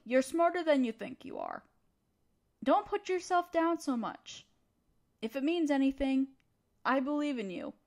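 Woman acting as female student crush, high voice, slow pace, teasing, encouraging, affectionate, audio roleplay. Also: darkish tone. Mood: gentle, reassuring. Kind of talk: intimate scripted roleplay acting addressed to the listener.

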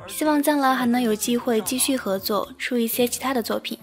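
A woman's voice: on the high side, brisk, soft background music. A woman speaking as an audio drama director in a casual thank-you afterword.

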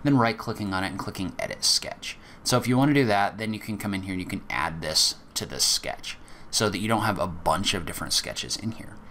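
A man teaching a software tutorial, explaining each step methodically in a casual way with occasional fillers. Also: sits low, a little fast, slight background noise. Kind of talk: screen-recorded software walkthrough.

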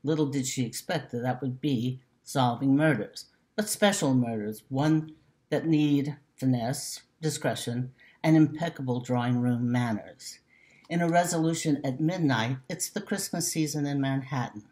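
A woman's voice: a bass voice, normal speed, a little mellow. An older woman in her sixties speaks as an author giving a prepared introduction to her mystery novel. She is recorded at desk distance on a webcam in a room at home. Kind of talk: prepared book introduction to camera. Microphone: laptop webcam at desk distance.

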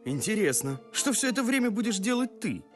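Boyish, animated young folk hero. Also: male voice, sits high, fairly quick, soft background music. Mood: patient. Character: young, simple, good-natured brawny folk hero. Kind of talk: animated film voice acting.

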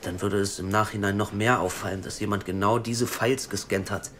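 A man's voice: fairly low pitch, a little hiss or hum, lightly acted. An actor playing a cocky, teasing male hacker in an audio drama. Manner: teasing and jokey, rushes when excited. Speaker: hacker colleague.

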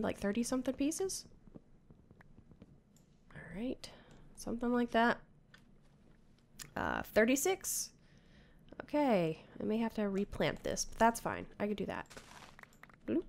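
A woman in her thirties on a headset mic, chatting casually as she plays a game, muttering to herself and scolding the creatures on screen, her voice going hoarse. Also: fairly high pitch, sing-song, measured pace, pauses often. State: losing her voice, coughing. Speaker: gamer. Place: home room with bookshelves.

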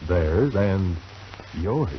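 A man with a low, very animated voice delivers scripted 1940s radio announcing in a theatrical style. The recording is muffled, with faint hiss. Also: very slow.

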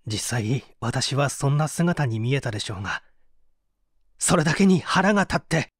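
An actor playing a weary, fatalistic murder suspect giving a dramatic confession in a mystery audio drama. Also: male voice, medium pitch, expressive, normal speed, husky, dry recording, clean studio sound. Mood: weary, bitter. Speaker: voice actor reading a story.

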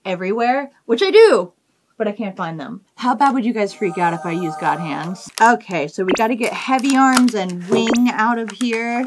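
A woman's voice: mid-range voice, noisy background. A woman in her thirties chatting casually and enthusiastically to camera in slangy, informal language as a hobby video host. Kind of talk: casual vlog chat to camera. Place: small indoor hobby studio room.

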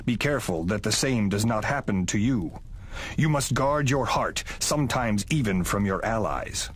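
A man voicing a solemn, wise guardian god and mentor in a video game, speaking gravely and formally. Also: on the low side, expressive, moderate pace. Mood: solemn, warning. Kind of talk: dramatic video game voice acting. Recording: loud ambient noise behind game dialogue.

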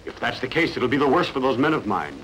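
A man's voice: mid-range voice, big pitch swings, fast talker, heavy background noise, close to an everyday voice. An actor playing a cruel, haughty king who gives commands in a dubbed sword-and-sandal film. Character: cruel, imperious king lording over his soldiers. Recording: film dub with loud music behind.

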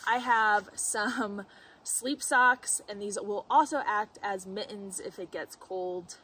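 A woman in her twenties showing her hiking gear in a casual, chatty way, full of "like" and "um", sitting outdoors in a sunny forest clearing. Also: mid-range voice, slow pace, sharp tone. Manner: chatty, with frequent "like" and "um" fillers. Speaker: hiker and vlogger. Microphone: camera at a distance. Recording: distant outdoor mic with faint background noise.

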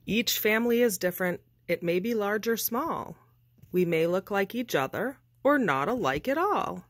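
A woman reading a children's picture book aloud with deliberate, expressive, measured phrasing. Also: mid-range voice, no echo, studio quality. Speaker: storybook reader.

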